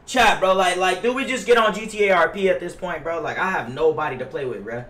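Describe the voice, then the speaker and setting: A man's voice: high-pitched, fast talker, a bit bright. A young American man chatting casually to livestream viewers as he games, slangy, profane and complaining. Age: young adult. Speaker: livestreamer playing a basketball video game. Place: indoors at a gaming setup. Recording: roomy, distant mic with faint noise.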